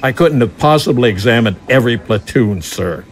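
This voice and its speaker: A dutiful general acted by a man reports in a mid-pitched voice. His delivery is measured, formal and deferential, with military correctness, in audio drama style. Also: expressive, faint music behind, close to an everyday voice. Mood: defensive. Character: dutiful male general defending himself to his superior.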